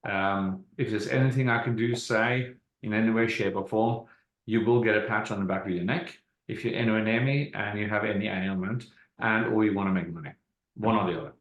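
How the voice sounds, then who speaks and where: The sound is roomy and echoey, as on an online group call. A middle-aged man from Norway, a native Norwegian speaker, hosts the session in a fairly low voice. He presents informally, like someone leading a team training. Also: brisk. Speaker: host of a business training call.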